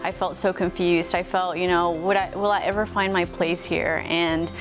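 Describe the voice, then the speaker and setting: A woman's voice: on the low side, flat delivery, moderate pace. A woman in her thirties from Mexico with a light Texas accent, a morning news anchor talking earnestly and gratefully about her life. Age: 30s. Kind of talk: personal interview talk. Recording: music playing underneath.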